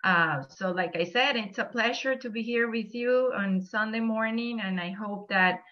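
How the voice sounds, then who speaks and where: A woman from Venezuela with a Venezuelan Spanish accent, giving a friendly online presentation to a church group and filling her pauses with "um". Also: fairly high pitch. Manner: friendly, many "um"s, explaining slide by slide. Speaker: guest speaker. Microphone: video call. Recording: muffled video call audio.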